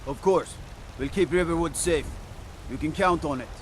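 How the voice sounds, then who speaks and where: A fantasy town guard, acted by a man, speaking in a fairly high male voice. Clear outdoor game ambience sits behind the lines. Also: normal speed, a little hiss or hum, close to an everyday voice, low-quality recording. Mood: dutiful.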